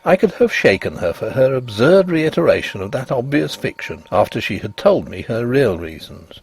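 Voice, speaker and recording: Expressive male storyteller with gravelly creak. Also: medium pitch, moderate pace, soft background music. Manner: expressive, literary delivery. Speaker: story narrator. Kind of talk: scripted narration of a Victorian ghost story.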